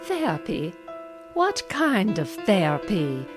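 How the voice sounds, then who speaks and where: A woman's voice: mid-range voice, wildly swooping pitch, slow pace, music in the background. An actress playing a troubled, fretful mother in an audio drama, hesitant and dramatic. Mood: wary, dismissive. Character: troubled, fretful mother haunted by vivid nightmares. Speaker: drama actor.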